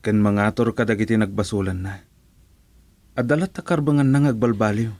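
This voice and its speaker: An actor with a Filipino accent playing an earnest, reasoning friend in a radio drama. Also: male voice, lowish voice, brisk, darkish tone, no room sound, natural voice. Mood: consoling, earnest. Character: earnest, reasoning male friend urging forgiveness.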